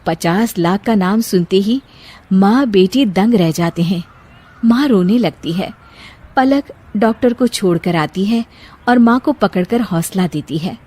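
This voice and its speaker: A woman's voice: medium pitch, lively intonation, a little slow, darkish tone. A woman storyteller giving scripted narration of a moral story.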